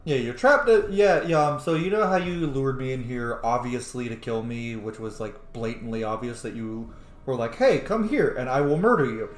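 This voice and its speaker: A man's voice: medium pitch, normal speed. A man giving casual, sweary, mocking commentary as he roleplays through a video game. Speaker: gaming video host. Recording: echoey room.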